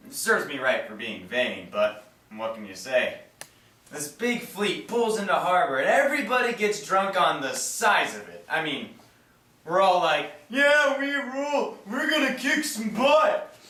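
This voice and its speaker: A man's voice: sits high, very animated, a little slow, bright, forceful tone, heavily acted. A man performing a stage monologue as a vain, slangy, weary young ship's lookout who breaks into exclamations. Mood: wry, griping. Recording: echoey room, far from mic.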